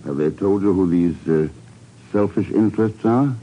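A dull, noisy old radio recording carries a man playing a composed secret agent. His voice sits very low and he asks his questions with dry, measured wit, in classic 1950s radio style. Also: natural voice. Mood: dry, calm. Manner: measured, wry, probing questioner. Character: cool, dry male American secret agent investigator. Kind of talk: 1950s radio drama acting.

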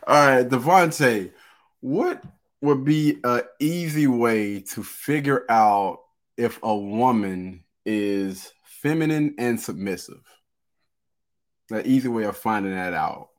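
Relaxed low-voiced man hosting a chat. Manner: relaxed, quick to laugh, asks questions. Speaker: host. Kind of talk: casual group discussion.

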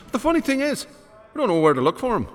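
A man's voice: extremely high pitch, sing-song, rapid-fire. An actor playing a wry, dogged male New York police detective in a radio drama, sardonic in his delivery. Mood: wry, probing. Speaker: detective and narrator. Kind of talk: radio drama dialogue and narration.

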